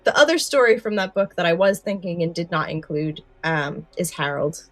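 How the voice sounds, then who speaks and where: A woman's voice: on the low side, moderate pace, no room sound, soft background music. A woman co-hosting a podcast in casual, enthusiastic chat, stressing points by repeating words. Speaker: podcast co-host.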